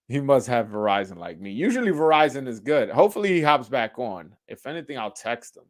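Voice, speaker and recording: A young man hosting a casual live-stream interview, joking loosely, stammering and repeating words, switching into Spanish to translate for his guest. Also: mid-range voice. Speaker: host.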